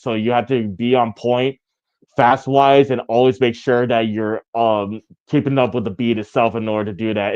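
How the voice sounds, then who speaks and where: A man with a deep, raspy voice talks easily as a guest in a casual interview. He is laid-back and keeps dropping "you know what I mean". The sound is a little dull and lo-fi. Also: a little mellow. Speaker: guest rapper.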